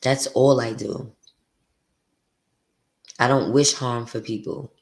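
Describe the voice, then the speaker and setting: Woman, deep voice, gravelly, podcast host, blunt, profane, confessional monologue. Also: fairly even intonation, slow.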